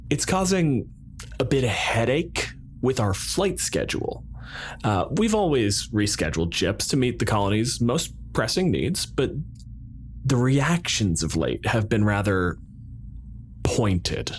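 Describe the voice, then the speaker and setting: A man playing a measured, bureaucratic colonial president in roleplay, speaking formally with deliberate, pointed pauses. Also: on the low side, a little hiss or hum. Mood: coolly displeased. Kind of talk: improvised roleplay dialogue.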